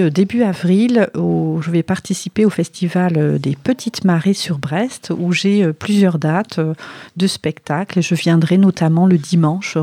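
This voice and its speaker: A woman with a southwestern French accent answering interview questions conversationally, often pausing to find her words. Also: fairly low pitch, dark tone, dry recording. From southwest France. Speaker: storyteller and writer, interview guest.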